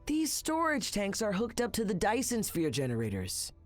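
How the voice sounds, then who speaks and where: A lively teenage boy space cadet. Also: high voice, normal speed, faint music behind. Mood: urgent. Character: eager, plucky teenage boy alien cadet leader. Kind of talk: animated game acting.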